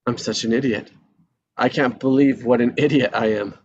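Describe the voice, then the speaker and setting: A man playing a discontented, self-pitying married man in his thirties in a live screenplay read, speaking casually and haltingly. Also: medium pitch, fairly even intonation, lo-fi sound, a little hiss or hum, natural voice. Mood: bitter, regretful.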